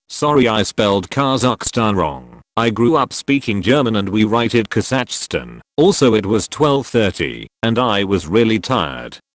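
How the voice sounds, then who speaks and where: A man narrating, reading forum posts aloud in a steady, even delivery. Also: fairly low pitch, no echo, studio quality.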